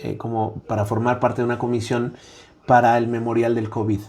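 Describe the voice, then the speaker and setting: A middle-aged man with a Mexican accent talks as an interview guest, his voice dark and mellow at an ordinary middle pitch. He thinks aloud, padding his points with "eh" and checking in with "¿no?". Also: monotone, brisk. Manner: reflective, frequent "eh" fillers and tag "¿no?". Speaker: guest academic. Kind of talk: conversational interview.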